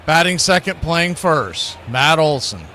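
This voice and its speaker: A man's voice: fairly high pitch, expressive, unhurried, pushed and bright, a little hiss or hum. A man announcing sports and sponsor spots in a showy, folksy radio play-by-play style. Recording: music bed under the voice. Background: music.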